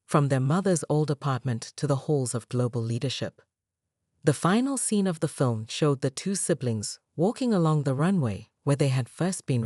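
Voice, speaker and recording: A woman narrates a scripted story in a measured, dramatic way. Her voice sits low, yet her intonation swings widely and expressively. The recording is dry and close, with no room sound. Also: darkish tone, dry recording.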